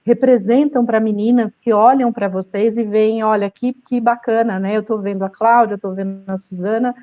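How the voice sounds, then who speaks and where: A woman's voice: fairly high pitch, steady tone, moderate pace, phone-quality sound. A middle-aged woman moderating a panel, introducing the guests with enthusiasm. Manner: enthusiastic, warm framing of guests.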